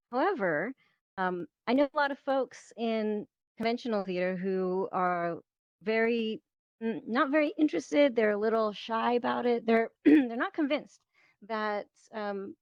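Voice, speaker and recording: A woman moderates a panel in a casual, conversational style. Her voice has a medium pitch, and the recording is dry and a little dull, like online voice chat. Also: no room sound. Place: virtual reality social platform meetup, online.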